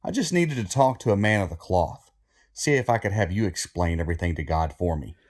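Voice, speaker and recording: Man playing a haunted, animated death-row killer. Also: low voice, a little fast. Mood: earnest, guilty. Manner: plain-spoken, haunted, easily worked up. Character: troubled, confessing condemned male killer on death row. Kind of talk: horror audio drama acting.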